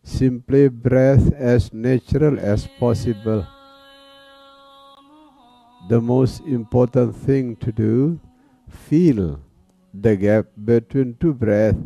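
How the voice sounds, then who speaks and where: A man teaching guided meditation in a calm manner, leaving long pauses between phrases. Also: medium pitch, creaky. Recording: dull, lo-fi sound. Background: soft music.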